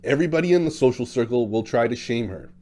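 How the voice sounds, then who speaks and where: Man, dark mellow tone, mid pitch, blunt casual talker, thirties to forties. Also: expressive, fast. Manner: talkative, blunt, acts out quotes in shifting voices. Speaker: dating coach. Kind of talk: casual monologue to camera.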